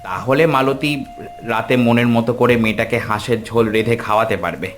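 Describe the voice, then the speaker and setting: Earnest cartoon village father, man voicing, ordinary mid voice, soft music underneath. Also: medium pitch, fairly quick, close to an everyday voice. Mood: wistful. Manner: earnest, musing aloud. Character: poor kind-hearted village father, earnest. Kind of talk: cartoon voice acting.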